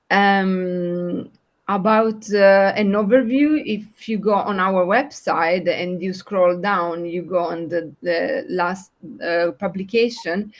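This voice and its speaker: A woman speaks English with an Italian accent, her voice sitting a little low for a woman. She presents slides to an online meeting, halting often with filler sounds as she searches for words. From Italy. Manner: hesitant, many filler sounds and restarts. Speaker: project partner presenting at a meeting.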